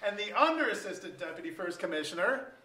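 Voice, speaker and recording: A jokey, rambling middle-aged bureaucrat played by an actor in a staged comedy reading. The voice is mid-pitched and sounds roomy and set back from the mic. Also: sing-song, fast, sharp tone. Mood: jokey, rueful. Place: museum auditorium stage. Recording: roomy, distant mic, faint noise.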